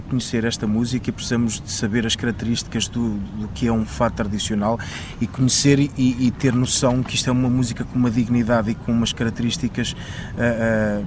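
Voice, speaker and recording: Earnest man with a flat voice over traffic. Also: mid-range voice. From northern Portugal. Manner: earnest, reflective, many restarts. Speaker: fado singer. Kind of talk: interview answers.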